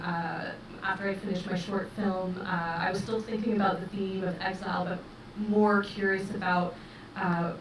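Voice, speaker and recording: A middle-aged woman, a film director speaking English as her first language, giving measured, thoughtful answers with many fillers in a festival interview. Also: medium pitch. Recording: roomy, distant mic with noise.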